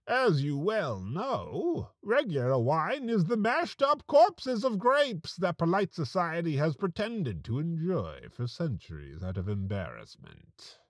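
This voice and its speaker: A man hosting and narrating a scripted comedic horror podcast, with a theatrical, mock-ominous delivery and dramatic pauses. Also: fairly high pitch.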